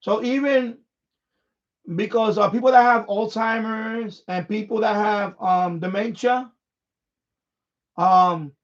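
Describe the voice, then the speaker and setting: A man hosting a live stream in casual, unscripted chat, speaking haltingly and often repeating words and phrases. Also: high-pitched, fairly even intonation. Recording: dull, lo-fi microphone sound.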